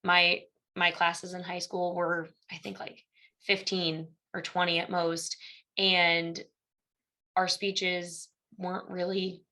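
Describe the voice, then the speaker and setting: Young midwestern woman guesting on an interview, bright tense voice, tells stories and acts out the other people's lines. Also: lowish voice, flat delivery, slow pace, low-quality recording. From Illinois. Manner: chatty storyteller who acts out quoted exchanges. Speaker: guest, university instructor. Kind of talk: casual interview chat.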